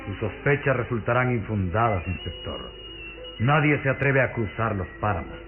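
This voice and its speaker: A man's voice: lowish voice, expressive, close to an everyday voice. Man acting a suave sinister count, tinny radio sound. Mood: ominous, dismissive. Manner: suave, menacing, theatrical, measured. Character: sinister aristocratic count, commanding, hypnotic, coldly courteous. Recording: tinny lo-fi vintage radio recording with music.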